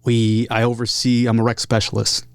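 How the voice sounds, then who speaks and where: A man speaking casually and openly as an interview guest, earnest, in short halting phrases. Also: medium pitch, monotone, smooth, no echo. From San Diego area, United States. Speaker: podcast guest, musician. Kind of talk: casual, candid interview talk.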